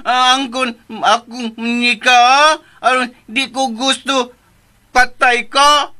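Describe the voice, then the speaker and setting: Simple-minded childlike young man, Filipino accent, radio drama, very shrill, slow halting babble, hums. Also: fairly even intonation, sharp tone, heavily acted. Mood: possessive, stubborn. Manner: halting, babyish broken speech with many hums.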